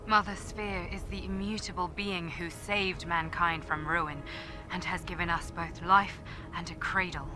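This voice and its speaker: An actress playing a weary soldier recording a grim last testament in a video game, solemn and measured. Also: female voice, on the low side, natural voice. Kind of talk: dramatic narrated log in a video game. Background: prominent music.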